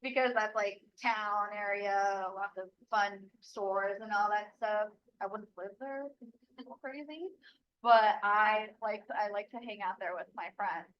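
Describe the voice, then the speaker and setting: A woman's voice: mid-range voice, fairly even intonation, a little slow, lo-fi sound. A young woman from Bali giving casual, chatty advice as a local guide, with lots of "like" fillers. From Bali, Indonesia. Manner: chatty, many "like" fillers, laughs easily.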